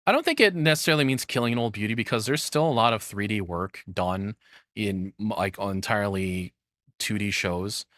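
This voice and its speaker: A man's voice: on the low side, moderate pace, a bit bright, no room sound, studio quality. A man giving casual, opinionated commentary, rambling and swearing bluntly as he goes. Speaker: streamer reacting to a video.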